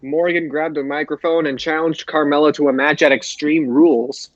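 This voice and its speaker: A man's voice: a little high, steady tone, moderate pace, dry recording. A man co-hosting a podcast, giving an informal recap on a lo-fi recording. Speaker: podcast co-host. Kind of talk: informal recap of wrestling show.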